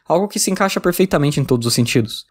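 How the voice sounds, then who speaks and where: A man's voice: fairly high pitch, animated, rapid-fire, breathy edge. A man with a Brazilian accent reading a scripted video game review in an emphatic, opinionated way. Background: background music.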